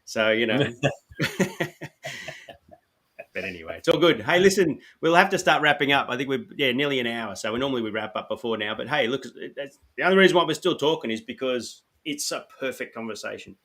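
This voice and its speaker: A man's voice: medium pitch, expressive, a little fast, a bit bright. Upbeat Australian man hosting a podcast. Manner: upbeat, coaching tone with frequent "you know". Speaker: podcast host. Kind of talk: casual podcast chat.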